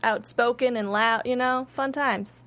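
A woman's voice: fairly high pitch, steady tone, a little slow, fairly bright tone. A young woman casually recapping a TV episode with friends, rambling with lots of fillers and restarts.

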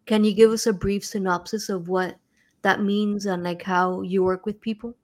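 Woman podcast host, mid-pitched, upbeat interviewer. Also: level tone, normal speed, darkish tone. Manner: friendly, upbeat questions with fillers.

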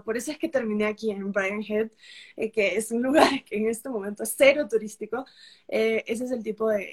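A young woman from Peru with a mixed Peruvian and Costa Rican accent, a travel creator chatting as a guest on a live stream, full of fillers and restarts. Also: mid-range voice. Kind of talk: casual live-stream chat. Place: traveling on a road trip, remote connection.

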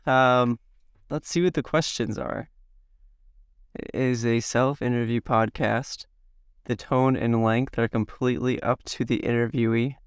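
A man from the Midwest in his thirties, slightly hoarse from a cold, answering interview questions to himself in a slow, halting, reflective way. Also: mid-range voice, fairly even intonation, no room sound, clean studio sound. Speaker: podcast guest. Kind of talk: self-interview.

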